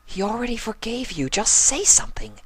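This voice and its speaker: A man's voice: sits high, big pitch swings, brisk, voice pushed up. A man voicing a refined, dramatic female pony with dainty, genteel phrasing in a storybook reading. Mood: anxious. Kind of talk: storybook character voice in fan fiction reading.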